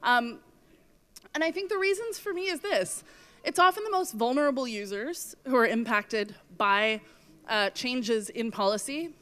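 A woman giving a talk on stage with slides, earnest and measured, her voice going from coughing. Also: fairly high pitch, animated, a bit bright. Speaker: conference speaker. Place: stage in a hall.

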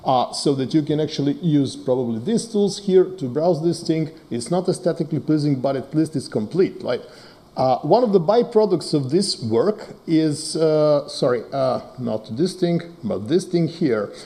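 A man whose first language is Serbo-Croatian, giving a conference talk as a data scientist, halting with many fillers and joking asides. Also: a little high, lively intonation, dark tone. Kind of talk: conference talk with live demo.

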